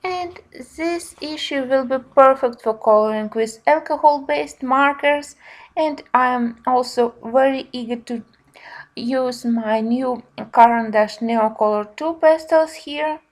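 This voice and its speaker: A woman giving a casual review narration in simple, slightly halting non-native phrasing. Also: sits high. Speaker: colouring book reviewer.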